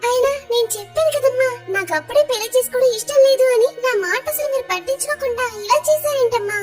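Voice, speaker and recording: A woman's voice: extremely high pitch, fast talker. A woman playing an anxious, pleading young woman in a cartoon story. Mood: upset. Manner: fretful, pleading. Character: anxious, pleading young woman in love, facing arranged marriage. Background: music.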